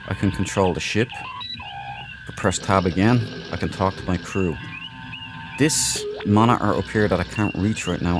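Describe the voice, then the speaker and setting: A low-voiced man hosts a gaming video with casual commentary. He rambles informally through his explanations, and game music plays clearly behind him. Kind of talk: casual let's play commentary.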